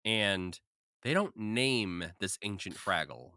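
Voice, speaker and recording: A man's voice: lowish voice, big pitch swings, slow pace, sharp tone, no echo, clean studio sound. A man co-hosting a podcast, casually recapping and commenting with a wry, joking manner. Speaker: podcast co-host.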